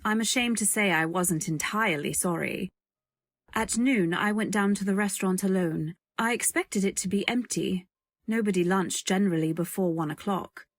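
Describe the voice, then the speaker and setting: A woman's voice: mid-range voice, moderate pace, dry recording, lightly acted. A woman playing a modest, earnest young companion, narrating and speaking politely and wistfully in a radio drama. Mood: wistful narration. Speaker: narrator and heroine. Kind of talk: first-person narration in old-time radio drama.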